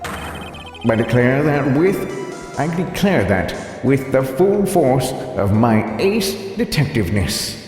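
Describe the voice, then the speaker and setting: A man's voice: mid-range voice, sing-song, slow pace, a little mellow, very echoey, in a large hall, faint music behind. A man voicing a smug, theatrical ace detective from a video game, pompous and drawn out in delivery. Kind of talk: video game voice-over, read live.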